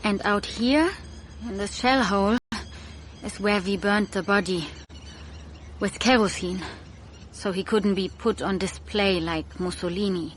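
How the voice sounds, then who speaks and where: An actress as a Hungarian-born wartime guide in a radio play. She is a woman of medium pitch who speaks bluntly and factually, with faint ambience around her. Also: measured pace, pauses often, slight background noise, natural voice, poor audio. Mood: blunt, matter-of-fact. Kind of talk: radio drama acting.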